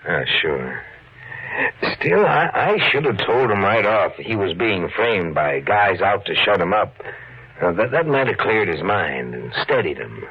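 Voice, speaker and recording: Low-voiced, plain-spoken husky cop. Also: male voice, sing-song, a little slow, exaggerated voice. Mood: explaining, earnest. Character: plain-spoken, steady male police officer. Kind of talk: 1940s radio acting. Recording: dull lo-fi 1940s radio recording.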